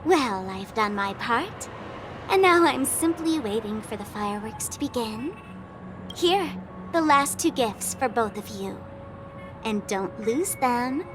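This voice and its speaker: A woman voicing a mischievous, theatrical young female trickster in a video game, taunting and playful, with music behind her. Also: a little high. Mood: playful menace. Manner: mocking, drawling, playful, dramatic.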